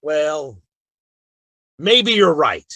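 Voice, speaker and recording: An American actor playing a gruff, stubborn frontier lawman in a western radio drama, terse and brooding. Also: fairly high pitch, big pitch swings, drawn-out words, bright, forceful tone, no echo, heavily acted. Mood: chastened.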